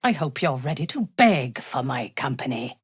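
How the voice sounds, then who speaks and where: An actress playing a cold, ruthless dark elf warrior-priestess in a video game, haughty and deliberate, with a breathy, husky voice. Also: female voice, a bass voice, big pitch swings, dry recording, clean studio sound, full character voice. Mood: imperious. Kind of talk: video game character dialogue.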